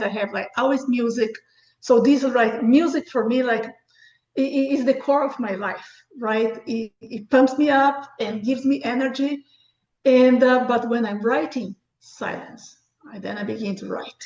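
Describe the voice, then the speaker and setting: Brazilian lady, middle-aged, eager and chatty, kinda high voice, dull echoey sound. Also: a little mellow. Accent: Brazilian Portuguese. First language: Portuguese. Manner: enthusiastic, frequent restarts and laughs. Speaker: guest author. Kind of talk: casual interview chat.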